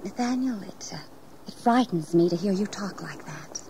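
An actress playing an anxious, devoted, genteel young wife in a historical audio drama, fretful and hesitant. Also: female voice, medium pitch, lively intonation, moderate pace, warm tone, close to an everyday voice. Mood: frightened, loyal. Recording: noisy 1980s audio drama tape.

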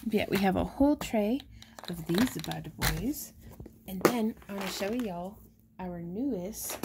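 A chatty woman, showing handmade stones in a casual shop update, talking softly and excitedly over the camera. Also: medium pitch, very noisy. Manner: chatty and excitable, drops into soft whispers over pretty stones. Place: indoors at a craft table.

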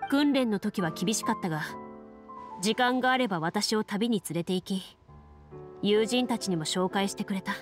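An actress playing a composed, serious young woman duelist in a video game, speaking in a calm, measured, formal way. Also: mid-range voice, close to an everyday voice. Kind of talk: Japanese game voice acting.

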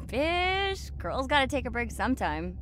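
An actress playing a breezy, sassy young student in a video game, slangy and playful. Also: female voice, high-pitched, big pitch swings, a little slow, fairly bright tone, full character voice. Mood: playful, amazed. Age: young adult. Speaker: younger sister on video call. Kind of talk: naturalistic video game acting. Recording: faint background noise, ambience.

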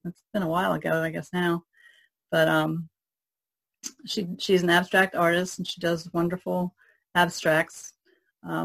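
A woman co-hosting an art podcast, giving casual introductions. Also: fairly low pitch. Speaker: podcast co-host, painter.

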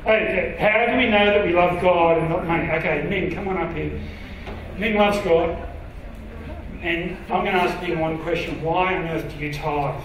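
A man's voice: on the high side, normal speed. A middle-aged man preaching informally as a pastor, joking and putting questions to his listeners. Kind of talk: conversational sermon to a congregation. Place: church auditorium stage. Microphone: handheld microphone through PA, far camera. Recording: echoey hall PA, distant, faint noise.

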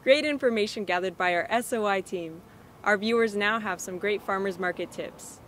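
A woman's voice: mid-range voice, normal speed, fairly bright tone, slight background noise. Young woman reporting from outdoors. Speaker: TV show host. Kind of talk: scripted reporting. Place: outdoor farmers market. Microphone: handheld mic.

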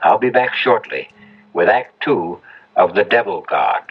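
A man hosting and narrating a radio drama in a solemn, deliberate storytelling manner. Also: low voice, sing-song, muffled recording. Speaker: host and narrator. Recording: band-limited old radio recording, music behind.

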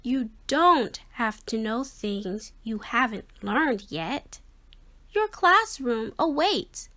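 A woman's voice: on the high side, animated, slow, pushed and bright, exaggerated voice. A woman voicing a kind, encouraging female fish schoolteacher in a storybook, reassuring in manner.

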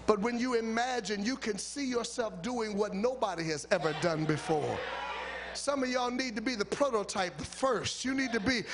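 A man's voice: extremely high pitch, a bit bright. A middle-aged American man preaching a fervent, rhythmic and emphatic church sermon into a handheld microphone. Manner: rhythmic, emphatic, repeats phrases to rouse listeners. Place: large church hall, on stage at a podium. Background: live music.

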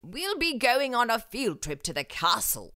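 A woman voicing a kindly, encouraging male bat headmaster in a storybook, with a slow, grand delivery. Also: a little high, big pitch swings, bright, forceful tone, no room sound, studio quality, exaggerated voice. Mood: upbeat, reassuring.

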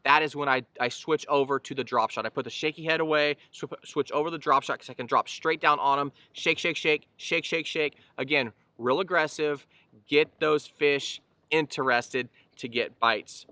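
A man's voice: a little high, steady tone, moderate pace, bright, forceful tone, dry recording. A man in his thirties, seated on a boat outdoors, giving a casual explanatory fishing lesson to camera with easygoing fillers and restarts. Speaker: fishing channel host. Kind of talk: casual instructional talk to camera.

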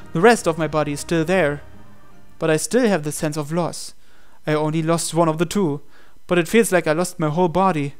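A man's voice: on the high side, clear, resonant tone. A man reading a visual novel aloud as a game player, in dramatic storytelling narration.

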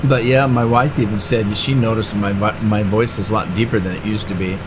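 A man's voice: on the low side, steady tone, a little fast. Rambling middle-aged man with engine noise. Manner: rambling, chatty, with frequent "uh" and restarts. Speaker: gaming channel host. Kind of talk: casual let's-play commentary. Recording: game engine noise clearly behind the voice. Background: tractor and engine sounds from the game.

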